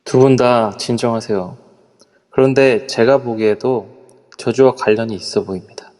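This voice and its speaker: A nervous middle-aged hotel executive, as a man plays him in an audio drama, speaking politely and hesitantly in a mellow, dark voice. His words trail off when he turns uneasy. A long reverb from the voice chat room hangs behind him. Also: medium pitch, moderate pace, close to an everyday voice. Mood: cautious. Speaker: voice actor in a live amateur audio drama.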